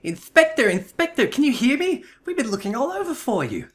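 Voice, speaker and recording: A man's voice: very high-pitched, wildly swooping pitch, a little fast, voice pushed up, heavily acted. A man voicing an earnest, eager young female assistant in a melodramatic reading of video game dialogue. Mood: eager, anxious. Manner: eager, pleading, exclamatory. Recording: music behind the voice.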